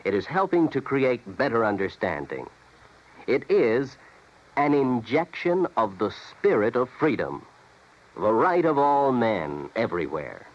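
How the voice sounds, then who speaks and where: A man with an American accent reading scripted radio narration as an announcer, earnest and measured. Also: medium pitch, very animated. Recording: dull vintage radio recording.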